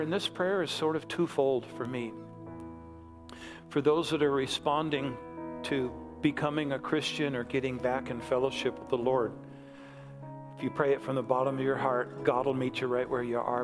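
An American man in his sixties preaching as a pastor in a conversational, folksy way, with short repeated phrases. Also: medium pitch. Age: 60s. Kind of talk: conversational sermon and altar call. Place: large church sanctuary, on stage before congregation.

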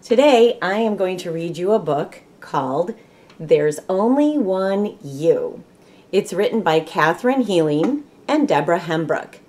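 Casting: middle-aged woman, American Midwestern accent, storybook reader with unhurried pace and animated intonation. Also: medium pitch. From Michigan, United States. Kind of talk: children's read-aloud storytelling. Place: small room at home, indoors.

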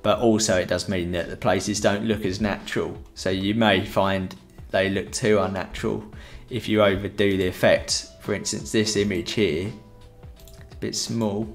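A man's voice: on the low side, steady tone, moderate pace, soft background music. A young man with a headset mic, giving a rambling, chatty photography tutorial in a room at home. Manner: rambling, chatty, many fillers. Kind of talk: casual live-stream tutorial.

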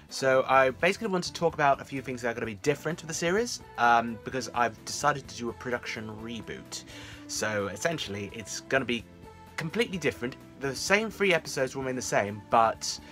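A man's voice: medium pitch, a bit bright. Chatty young man vlogging over music. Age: young adult. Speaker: fan audio series creator and vlogger. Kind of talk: casual talk to camera, series update. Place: small room indoors at home.

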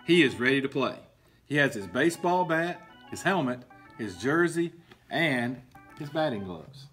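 A middle-aged man reading a picture book aloud to children as a coach, explaining in a deliberate, emphatic, instructive way. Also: medium pitch, animated, fairly bright tone, soft background music. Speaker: baseball coach and PE teacher. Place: indoor school room with book shelves.

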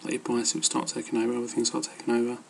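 A man's voice: medium pitch, completely flat delivery, racing, gravelly, breathy edge. A man giving casual, rambling advice as an amateur fitness vlogger, hesitant and loosely worded.

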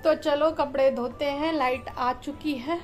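A young woman with a Rajasthani Hindi accent chats to her viewers in a slightly high voice while doing chores. She is recorded on a phone in an outdoor village courtyard. Background music plays clearly under her voice. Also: steady tone, a little slow. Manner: chatty, addresses viewers directly. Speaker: vlogger. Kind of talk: casual vlog narration.

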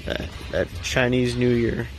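A man's voice: mid-range voice, completely flat delivery, measured pace, music in the background. A young man filming on his phone in a large indoor store, making teasing, wisecracking comments and laughing often. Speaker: vlogger filming. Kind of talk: casual joking commentary. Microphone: handheld phone camera.

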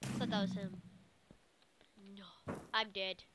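A woman's voice: medium pitch, flat delivery, unhurried. A young woman chatting casually while playing a video game, as host of a gaming video. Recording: clicky, noisy home gaming recording.

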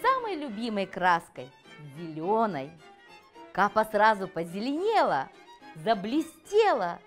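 Middle-aged woman, expressive children's storytelling, highly varied intonation, slow pace, soft music underneath. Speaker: author reading her own fairy tale. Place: furnished room with armchair and fireplace, indoors.

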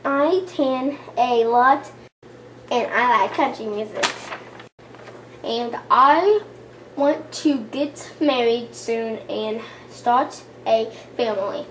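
A woman's voice: on the high side, takes it slowly, a little hiss or hum. A young woman voicing a spoiled, rich young girl doll with an airy, drawling manner.

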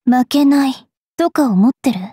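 A woman voicing a bookish, sharp-tongued young fairy witch knight in an anime mobile game, switching between prim politeness and rough taunts. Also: high-pitched, expressive, moderate pace, no room sound, studio quality. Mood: cool, teasing.

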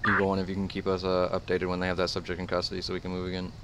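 A man's voice: sits low, monotone, very fast. A man playing a police officer in improvised online game role-play. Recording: distant, noisy game voice chat.

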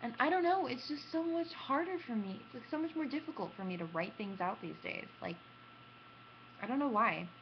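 A woman's voice: on the high side, expressive, a little fast. Young woman vlogger, muffled noisy webcam sound. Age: young adult. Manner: self-mocking, theatrical, acts out quotes. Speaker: gaming vlogger. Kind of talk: casual animated storytelling to camera. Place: small room at home, indoors. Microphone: computer webcam at arm's length. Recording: dull lo-fi webcam sound with clear noise.

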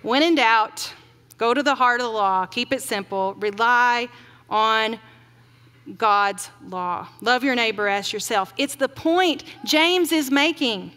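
A middle-aged woman giving an earnest keynote sermon from a podium on a large auditorium stage, slowing down to stress grim facts. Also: fairly high pitch, a bit bright. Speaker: keynote speaker. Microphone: podium mic. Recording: long hall reverb.

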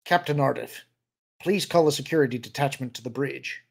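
Senior military officer, voiced by a male reader: calm, weary, gently authoritative, measured delivery, husky gravel. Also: mid-range voice. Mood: quiet finality.

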